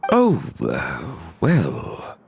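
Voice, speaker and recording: A man's voice: rumbling low, wildly swooping pitch, drawn-out words, vocal fry, darkish tone, heavily acted. A man voicing a dignified, courteous dragon judge in a video game, speaking formally and with awkward hesitation. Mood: awkward, hesitant.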